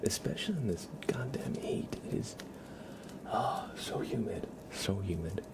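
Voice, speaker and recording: Guy doing asmr live chat, whispery n breathy, low voice, kinda rambly and soft. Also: expressive, unhurried. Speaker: ASMR livestream host. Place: room at home with a window.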